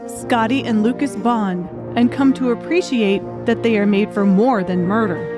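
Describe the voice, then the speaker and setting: A woman's voice: fairly high pitch, normal speed. A woman reading a scripted audiobook story as a dramatic narrator. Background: music.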